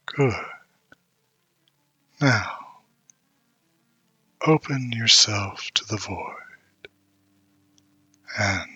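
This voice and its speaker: A man's voice: fairly low pitch, sharp tone, no echo, highs cut off, soft background music, full character voice. A man playing a seductive, patient spirit of the void in an intimate audio roleplay, lingering on words and often slipping into a whisper. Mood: intense, coaxing. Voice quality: breathy, often dropping into whisper. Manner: slow, lingering, hypnotic.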